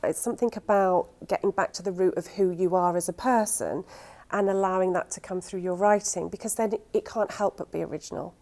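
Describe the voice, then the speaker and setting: A woman giving considered advice in a reflective interview, pausing as she thinks. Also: fairly low pitch, a little mellow. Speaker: radio drama producer giving writing advice.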